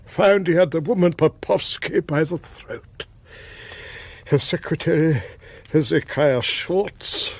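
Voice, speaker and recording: An actor playing a furtive, grumpy English husband in a radio comedy, with a gravelly voice and a clipped, blustering manner. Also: male voice, fairly high pitch, heavily acted. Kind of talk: radio comedy drama acting.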